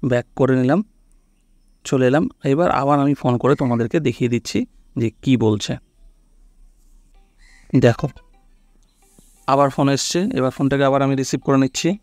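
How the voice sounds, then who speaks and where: A man with a medium-pitched voice, recorded dry and close. He walks through phone steps casually as a tutorial host, often checking that the listener is following along. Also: normal speed, long pauses, darkish tone, no echo, faint music behind.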